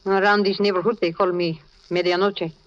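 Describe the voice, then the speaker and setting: An actress playing a tough but generous, grieving Latin American woman with a Spanish accent, brisk and knowing, in 1940s radio drama style. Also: on the low side, level tone, lightly acted. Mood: teasing. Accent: Spanish-accented English, Latin American. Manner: brisk, bossy, knowing, with simple foreign phrasing. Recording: dull, lo-fi old radio recording.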